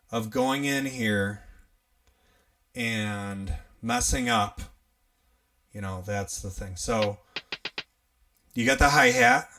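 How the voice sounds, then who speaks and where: A young man with an upstate New York accent, hosting a casual live tutorial on music gear, chatty and relaxed, laughing often as he works. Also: medium pitch, far from the mic. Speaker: livestream host teaching music gear.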